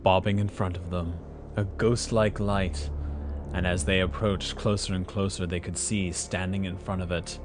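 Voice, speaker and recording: Deep-voiced melodramatic male narrator. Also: little pitch movement, moderate pace, background noise. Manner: melodramatic, suspenseful. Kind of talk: dramatic old-time adventure serial narration. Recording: prominent music behind the voice.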